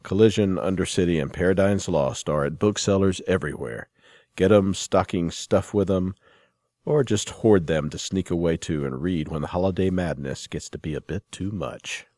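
A man's voice: low voice, moderate pace, darkish tone, no room sound. A man hosting a podcast, giving introductions and putting questions to a guest. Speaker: podcast host and interviewer.

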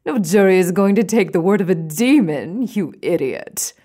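An actress playing a seductive, cold-blooded femme fatale killer in a noir audio drama, with a sultry and scornful manner. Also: female voice, fairly low pitch, lively intonation, moderate pace, warm tone. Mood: brazen, scornful.

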